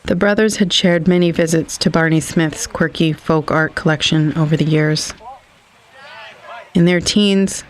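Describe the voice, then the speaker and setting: A man reading literary scripted narration for an audio story in a measured storytelling manner. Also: a little high, rough recording.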